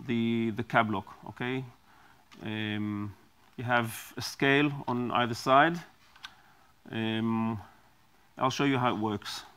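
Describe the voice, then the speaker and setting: Middle-aged man, very slow talker, halting with ums, product demo, lapel mic, small studio. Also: mid-range voice, fairly bright tone. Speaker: product designer demonstrating his device. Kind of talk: product demonstration.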